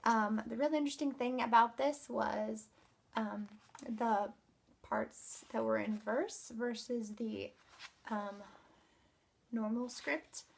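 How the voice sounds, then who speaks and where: A woman with a somewhat high-pitched voice talks casually to her viewers as a book reviewer. Her delivery is conversational and unscripted. She phrases her opinions informally, often softening them as she goes. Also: steady tone, slow, vocal fry. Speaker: book vlogger.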